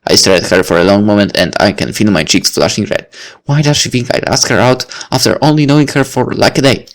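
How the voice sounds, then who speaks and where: A man's voice: on the low side, brisk. A young man reading a visual novel aloud, adding wry, chatty asides. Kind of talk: reading aloud with off-the-cuff comments.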